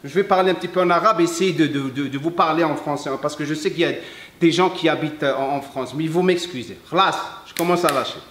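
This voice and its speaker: High-voiced man in an echoey room. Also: a bit bright. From Algeria. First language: English. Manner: emphatic, halting non-native French with repeats. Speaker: fitness coach and video host. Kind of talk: heartfelt talk to camera, switching between French and Arabic.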